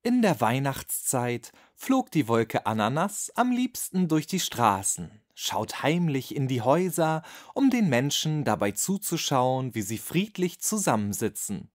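A narrator telling a children's bedtime story in an expressive, scripted storytelling manner. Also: medium pitch, a little slow, few pauses. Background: music.

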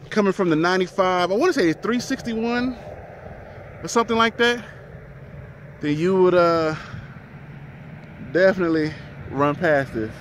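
Slow-talking man vlogging outdoors on a phone. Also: high-pitched, a little hiss or hum. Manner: chatty, talks to viewers as "y'all", laughs easily. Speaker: truck driver vlogger. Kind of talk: casual handheld vlog. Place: outdoors in an empty desert town by a highway. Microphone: phone held at arm's length.

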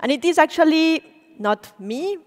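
A woman in her forties, a native Spanish speaker, giving an animated lecture on stage as a program director, engaging the audience with questions. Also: high voice, moderate pace. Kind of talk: public lecture. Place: large auditorium stage. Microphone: stage mic, speaker walking. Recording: long reverb of a hall.

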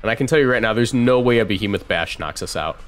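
A man in his twenties giving casual live game commentary, chatting and thinking out loud as he plays. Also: on the low side, animated, quick pace, faint music behind. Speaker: streamer.

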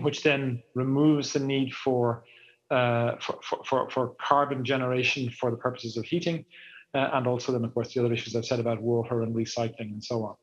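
A middle-aged man answering a panel question over a video call in a measured way, with many restarts. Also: medium pitch, slightly rough. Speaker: panelist, EU official. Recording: muffled, lo-fi video call audio.

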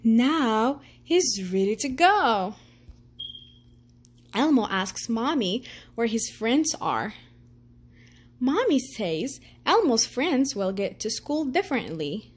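Breathy, slow woman reading a storybook. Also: a little high, very animated. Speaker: storytime reader. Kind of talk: children's picture book read-aloud.

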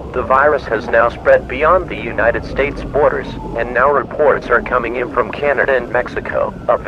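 A man's voice: mid-range voice, close to an everyday voice. Man performing male government alert announcer, scripted, formal, impersonal, lo-fi sound, noisy background. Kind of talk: scripted emergency broadcast announcement. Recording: muffled lo-fi, loud background noise.

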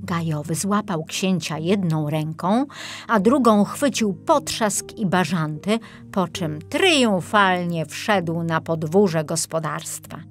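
A woman's voice: lowish voice, takes it slowly, soft background music. A woman narrator reading a scripted children's fairy tale.

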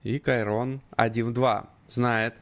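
A man's voice: medium pitch, flat delivery, slow. A man doing live esports commentary, analysing the play as he calls it.

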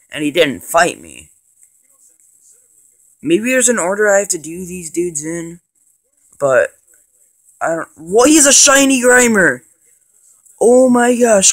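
A man with a cold and a congested voice, rambling casually with lots of ums and excited bursts as he plays and narrates a handheld game. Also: sits high. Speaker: gaming channel host. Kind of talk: casual let's play commentary.

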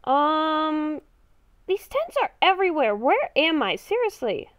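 A woman as a high-voiced, lost hybrid werewolf girl. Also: big pitch swings, no room sound, clean studio sound, exaggerated voice. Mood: anxious, exasperated. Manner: halting, thinking aloud, dramatic. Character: confused young werewolf-vampire hybrid girl, lost and exasperated. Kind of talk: improvised Minecraft roleplay narration while playing.

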